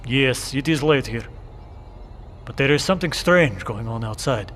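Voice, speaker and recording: An actor playing a wary, stoic watchman in a bunker, speaking deliberately and formally in an audio drama. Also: mid-range voice, expressive, fairly bright tone, natural voice. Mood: uneasy. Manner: deliberate, formal phrasing without contractions. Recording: echoey bunker reverb with background noise.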